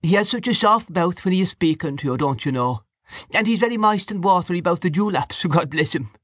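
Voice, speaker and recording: A man's voice: high-pitched, animated, brisk, fairly bright tone, dry recording, clean studio sound, pitched above the performer's own voice. A man voicing a jovial, boisterous middle-aged Irish father, hearty and teasing in his banter. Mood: mocking.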